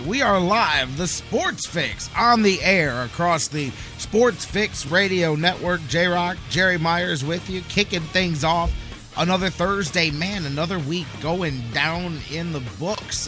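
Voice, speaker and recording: An American man hosting a sports radio show in an energetic, casual, rambling style full of slang. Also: on the high side. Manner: informal, rambling, peppered with slangy address. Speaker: sports talk radio host.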